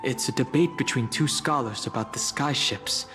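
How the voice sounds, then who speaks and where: An actor as a calm, serious young adventurer in reverberant space. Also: male voice, medium pitch, close to an everyday voice. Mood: pensive, measured. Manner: measured, thoughtful, understated. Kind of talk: scripted video game voice acting. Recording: long reverb, music behind. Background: game music.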